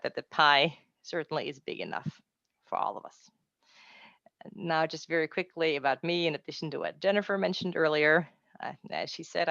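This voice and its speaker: A woman's voice: low-pitched, gravelly, dry recording, muffled recording. A woman in her forties from Austria, raised in Mexico City, with an Austrian accent, giving an upbeat, chatty webinar talk on translation careers with self-deprecating asides. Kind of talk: encouraging presentation.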